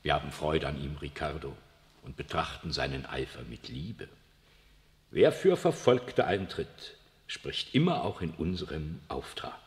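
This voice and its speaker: An actor playing an imperious, evasive aging pope in a 1960s radio drama, speaking in a lofty, cold way and using the royal we. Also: male voice, extremely low pitch, lively intonation, a little slow. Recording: long reverb of a large room.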